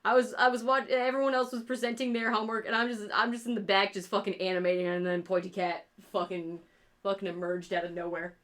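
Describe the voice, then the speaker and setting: A woman's voice: mid-range voice, level tone, quick pace, fairly bright tone. A woman from rural New York with her own regional New York accent, chatting loosely while she draws on a livestream and swearing often. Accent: upstate New York. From rural New York State. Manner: rambling, swears a lot, laughs at own jokes. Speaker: streamer and artist. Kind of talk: casual livestream chat while drawing.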